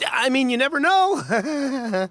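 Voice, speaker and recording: An actor playing a fussy, suspicious but deferential merchant in an anime game, hesitant and excitable in manner. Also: male voice, extremely high pitch, takes it slowly, exaggerated voice. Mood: doubtful.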